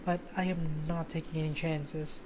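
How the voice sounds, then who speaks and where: A woman's voice: low voice, level tone, normal speed, background noise. A woman livestreaming a game, reading story narration aloud and breaking off into informal asides, sometimes stumbling over words. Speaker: game streamer. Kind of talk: livestream let's play, reading visual novel narration aloud with casual asides. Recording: loud music under the voice. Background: loud game music.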